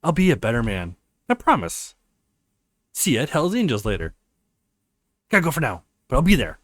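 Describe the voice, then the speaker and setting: A man's voice: medium pitch, huge pitch swings, moderate pace, no room sound. A man playing an apologetic, earnest young male college student in a visual novel, with a pleading, sincere manner.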